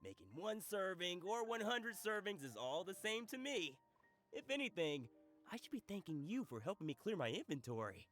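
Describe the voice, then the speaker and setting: An actor playing a genial, joking food-stall merchant in a game, hearty and teasing. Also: male voice, high voice, big pitch swings, normal speed, fairly bright tone, soft background music. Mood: generous.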